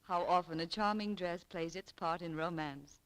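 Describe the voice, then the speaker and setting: A woman's voice: lowish voice, normal speed, clean tone, soft background music, low-quality recording. A woman announcer reading a scripted 1940s radio advertisement in a polished, persuasive way. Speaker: sponsor announcer.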